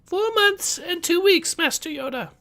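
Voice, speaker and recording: A woman's voice: very high voice, expressive, a little slow, pushed and bright, heavily acted. A woman voicing an earnest, polite child student in a dramatic comic read-aloud, hesitant and deferential. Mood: dutiful, polite.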